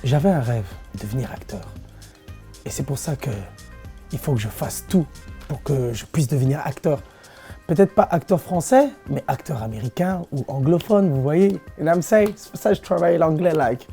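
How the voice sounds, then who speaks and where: A man's voice: on the high side, expressive, normal speed, creaky, mellow, husky, faint music behind. A man, answering interview questions in a loose, slangy, playful way as a dancer and social media comedian. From Belgium. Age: 20s.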